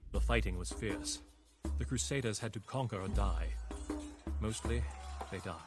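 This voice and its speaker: An actor playing a weary, reflective captured crusader knight, narrating a game story in a solemn, measured way. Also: male voice, on the low side, close to an everyday voice. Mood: grave, reflective. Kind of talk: scripted narration. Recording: game cutscene with music underneath. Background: music.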